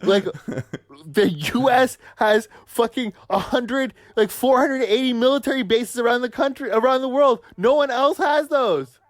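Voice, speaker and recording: A man's voice: very high-pitched, moderate pace, no room sound. Ranting, profane man, dry sound. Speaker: podcast co-host. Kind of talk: heated political argument with his brother.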